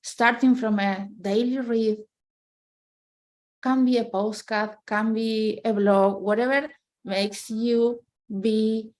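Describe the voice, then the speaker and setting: A woman's voice: a little high, steady tone, slow pace, a little mellow. A woman in her thirties from Argentina, speaking English with an Argentine Spanish accent as a second language, earnestly giving career advice in a casual chat and often checking in with "right?". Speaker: software engineer guest.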